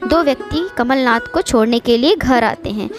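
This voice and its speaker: A woman's voice: fairly high pitch, normal speed. A woman reading a scripted moral story as its narrator. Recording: music behind the voice. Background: background music.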